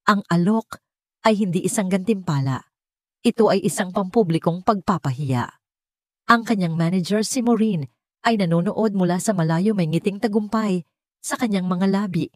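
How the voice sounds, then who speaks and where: A woman's voice: medium pitch, a little mellow, dry recording, studio quality. A woman with a Filipino accent reading a scripted dramatic story as a narrator. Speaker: audiobook narrator.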